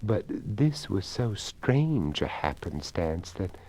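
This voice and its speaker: Man reading as hushed, slow elderly lady. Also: sits low, sing-song, heavily acted. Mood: hushed, sober. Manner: hushed, measured, genteel. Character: earnest, elderly deaf lady recounting a strange encounter.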